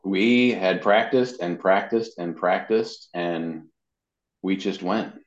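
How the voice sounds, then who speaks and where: A man's voice: low voice, slow. A man giving a casual, rambling interview as a guest, telling anecdotes about his work. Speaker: interview guest, voice actor and web designer. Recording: dull, roomy, lo-fi sound.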